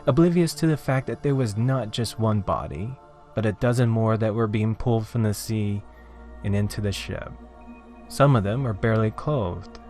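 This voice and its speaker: A man narrating a scripted horror story in a theatrical, deliberate, dramatic way, with drawn-out phrases. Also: medium pitch, a little mellow. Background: background music.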